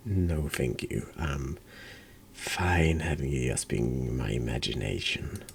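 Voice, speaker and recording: A man reading a horror game aloud in ASMR style, hushed and often slipping into a whisper. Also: very deep voice, slow pace, gravelly, a little hiss or hum. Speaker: horror game streamer, narrator.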